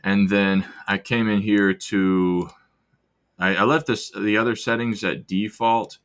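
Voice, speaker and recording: A man with a very low voice gives a casual software walkthrough. He talks loosely, restarting sentences as he goes. It sounds like an informal screen recording. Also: steady tone, unhurried, clear, resonant tone. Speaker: video host demonstrating software.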